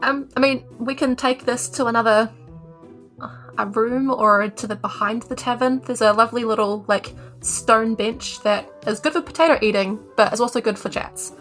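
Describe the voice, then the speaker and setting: A woman's voice: on the high side, normal speed, fairly bright tone, soft background music, lightly acted. A woman voicing a polite, friendly adventurer, courteous and easygoing, in an improvised tabletop game.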